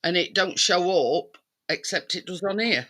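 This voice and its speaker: Very bright, insistent woman from England. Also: lowish voice, a little slow. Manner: insistent, circling, frequent "you know what I mean". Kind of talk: casual opinionated live-stream chat.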